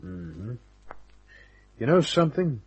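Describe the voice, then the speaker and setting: An actor playing a tough, cynical private detective in an old-time radio drama, talking in a wry, casual, laconic way with a rough, husky voice. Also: male voice, mid-range voice, very animated, slow pace, dull audio, full character voice. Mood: skeptical, wry. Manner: wry, casual, hard-boiled.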